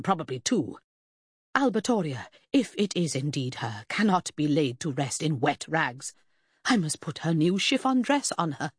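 A woman's voice: sits low, husky, no echo, studio quality, heavily acted. A woman voicing a furious, grieving middle-aged mother in an audiobook, haughty, demanding and indignant. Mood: icy, indignant. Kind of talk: audiobook character acting.

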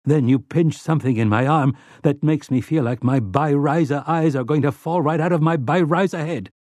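Man doing a gruff, aggrieved country man, raised pitch. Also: normal speed, darkish tone, no room sound, clean studio sound, close to an everyday voice. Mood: weary, bitter. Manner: snarling, aggrieved, folksy. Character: rough country man, guilt-ridden traitor, snarling and whiny.

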